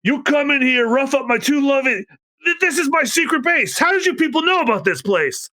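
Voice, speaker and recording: A man voicing a brash male shipwright gang boss who rants loudly. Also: very high-pitched, sing-song, fairly quick, background noise, pitched above the performer's own voice. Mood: indignant. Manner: loud, ranting.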